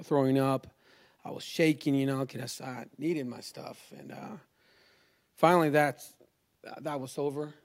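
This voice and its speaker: A man from Chile, a native Spanish speaker with a Chilean accent, tells a personal religious testimony in a halting, informal way. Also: medium pitch. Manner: halting, informal, peppers talk with "you know" and "man".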